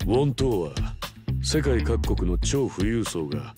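An actor playing a cool, calculating executive fixer in a video game, speaking with stern, measured politeness. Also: male voice, on the low side, big pitch swings, music in the background. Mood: coolly explaining. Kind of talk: Japanese video game cutscene acting.

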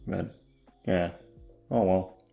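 A young man with a fairly low voice rambles casually to his listeners. He is hesitant and repetitive, swears easily and is quick to run himself down. Faint music plays behind him. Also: fairly even intonation, vocal fry, phone-quality sound. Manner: hesitant, sweary, self-deprecating, repeats himself. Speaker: audio roleplay voice actor.

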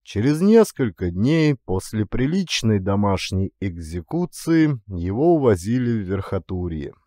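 A man narrating a literary story as an audiobook actor, with deliberate, dramatic phrasing and weighty pauses. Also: lowish voice, mellow.

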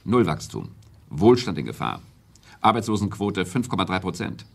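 An actor playing a stern, authoritative prime minister in a radio play, speaking formally and deliberately, as if lecturing. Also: male voice, sits low, very animated, creaky, husky, heavily acted. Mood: insistent. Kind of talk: German radio play acting.

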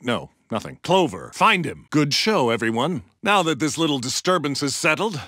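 Theatrical gentleman criminal, wildly swooping delivery. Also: on the high side, very animated, moderate pace, dry recording, clean studio sound, full character voice. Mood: wry, matter-of-fact. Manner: theatrical, dryly witty, crisply commanding. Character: suave, theatrical gentleman heist criminal, wryly villainous. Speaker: video game voice actor. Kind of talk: video game character combat barks.

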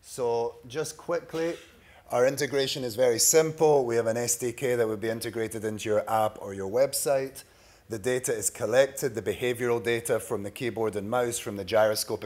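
A man in his thirties with a Scottish accent, giving a prepared startup pitch at a conference. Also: a little mellow. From Scotland. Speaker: startup pitch presenter, sales executive. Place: large bright conference room, at a podium. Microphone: podium microphone.

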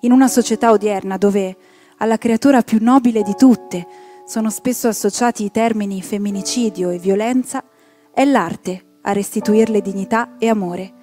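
A woman's voice: medium pitch, normal speed, warm tone. A woman in her thirties presenting awards, reading a prepared text into a handheld microphone. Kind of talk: scripted reading on stage. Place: theatre stage. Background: music.

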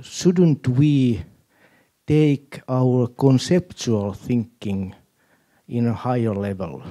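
Slow, very mellow man with Finnish accent. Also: mid-range voice. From Finland. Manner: deliberate, halting. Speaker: audience member asking a question. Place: indoor auditorium audience.

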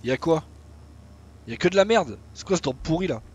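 A man's voice: on the high side, lively intonation, brisk, a little mellow, slightly rough, muffled recording. A young man casually commenting on his own gameplay as he plays, chatty and swearing now and then. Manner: chatty, swears casually, talks to himself while playing. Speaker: gaming YouTuber.

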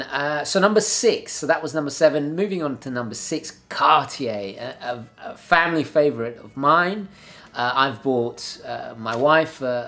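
An enthusiastic young man talks straight to the camera from his desk in a home office. His delivery is chatty and loose, full of fillers and quick restarts. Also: mid-range voice, fairly bright tone. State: slightly hoarse, clearing throat. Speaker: watch channel host. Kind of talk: casual video review. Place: home office room with a map on the wall.

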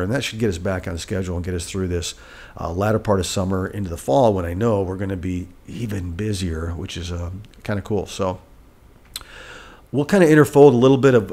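A man's voice: on the low side, a little mellow. A middle-aged man with a stuffed-up, nasal voice, hosting a solo podcast from a rented apartment living room, talking in a rambling way and correcting himself as he goes. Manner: rambling, self-correcting, candid. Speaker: podcast host. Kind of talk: casual solo podcast talk. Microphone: studio mic on a boom arm.

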